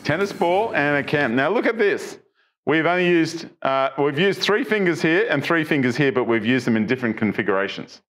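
A man's voice: on the high side, lively intonation, brisk, fairly bright tone. Middle-aged man, tech news presenter, chatty, filler-heavy, studio, lapel mic. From Australia. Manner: chatty, many fillers and restarts, asks viewers questions. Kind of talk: casual tech news walkthrough. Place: studio with branded backdrop.

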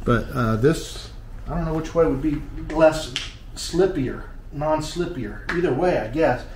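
A man's voice: a little high, unhurried. American man in an echoey room. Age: 50s. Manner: folksy, joking, thinking aloud. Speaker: vlog host. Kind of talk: casual chat while demonstrating DIY. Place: small tiled living room indoors. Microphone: handheld action camera, varying distance. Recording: echoey room, clear background noise.

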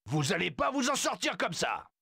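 A gravelly, blustering zoo guard. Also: male voice, sits high, huge pitch swings, normal speed, very noisy, heavily acted. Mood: gloating. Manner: blustering, gloating, barking orders. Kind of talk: video game dubbing.

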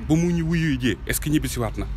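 A man's voice: fairly high pitch, quick pace. Insistent Senegalese man over street noise. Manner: animated, insistent, repeats phrases. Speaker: street interviewee. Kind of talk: casual opinionated vox pop, mixing two languages. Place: clothing stall by a street. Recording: clear traffic and street noise.

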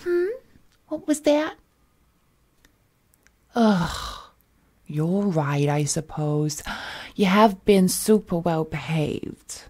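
A woman voicing an unhinged, possessive female supervillain kidnapper in an intimate roleplay audio, coaxing and doting with slangy speech and breathy whispers. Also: medium pitch, expressive, drawn-out words, long pauses, mellow. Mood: doting, playful.

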